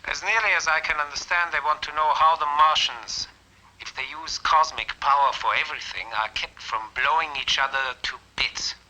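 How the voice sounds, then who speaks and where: A man's voice: on the high side, moderate pace, bright, forceful tone, slight background noise, poor audio. A man playing a mocking, unstable renegade scientist in an old 1950s film, goading as he talks. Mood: explaining. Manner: sardonic, goading.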